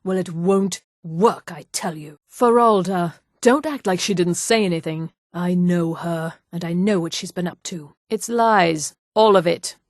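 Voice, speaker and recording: Haughty, scheming high elf lady. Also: unhurried, few pauses, no room sound, clean studio sound. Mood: defensive, haughty. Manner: haughty, poised, condescending. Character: haughty, vain, scheming female high elf mage and merchant. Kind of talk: scripted video game character dialogue.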